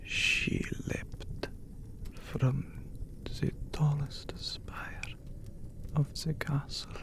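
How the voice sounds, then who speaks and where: A man playing an ancient, courteous, brooding vampire count in an ASMR roleplay, speaking in a breathy, gravelly hush with formal phrasing and long pauses. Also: a little high, little pitch movement, heavily acted. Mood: somber, haunted. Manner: slow, formal, hushed, with long dramatic pauses. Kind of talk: ASMR romantic roleplay, scripted character acting.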